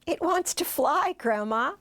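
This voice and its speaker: Woman voicing an excitable, high-pitched little girl. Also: unhurried. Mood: excited. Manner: pleading, sing-song exclamations. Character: Paige, excitable, tender preschool-age little girl. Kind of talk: children's storybook character voice.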